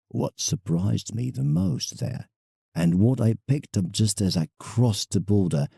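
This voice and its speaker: A man playing an old painter in an audio drama, reflective and enraptured, reading his letter theatrically with musing pauses. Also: fairly low pitch, vocal fry, mellow, husky, no room sound, clean studio sound, heavily acted.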